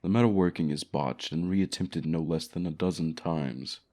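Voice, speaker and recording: A man voicing an ancient, lonely, haughty male dragon in a fantasy audio roleplay, speaking in a formal, measured, courteous way. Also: extremely low pitch, dark tone. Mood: amused disdain.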